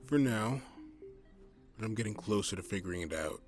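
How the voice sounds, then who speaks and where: A man playing a gruff, cynical, determined detective in an audio drama, blunt and condescending, with swearing. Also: on the low side, expressive, normal speed, soft background music, lightly acted. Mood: determined, cocky.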